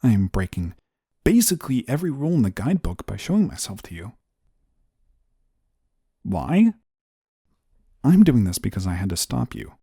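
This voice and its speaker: A man's voice: medium pitch, very animated, normal speed, creaky, pauses often, warm tone, breathy edge, no room sound, studio quality, exaggerated voice. A man playing an exasperated but protective guardian angel in an audio roleplay, scolding the listener emphatically. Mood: earnest, exasperated.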